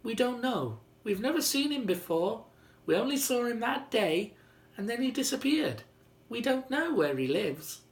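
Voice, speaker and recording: Man putting on high-pitched, innocent young children. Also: very animated, a little slow, heavily acted. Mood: innocent, puzzled.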